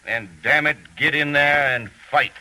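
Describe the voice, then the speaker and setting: A man's voice: on the high side, a little slow, crowd noise, natural voice. A man playing a terse, commanding major briefing his men, in 1940s radio acting style. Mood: stern, urgent. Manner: terse, authoritative.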